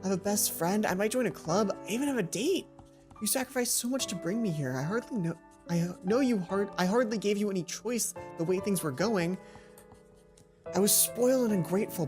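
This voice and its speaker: A woman's voice: mid-range voice, close to an everyday voice. A woman voicing an earnest, emotional, sweet schoolgirl heroine in a visual novel, in a heartfelt way.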